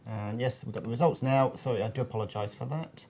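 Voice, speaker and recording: A man's voice: mid-range voice, fast, warm tone. A man hosting a panel webinar, presenting live in an informal way. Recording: clear background noise.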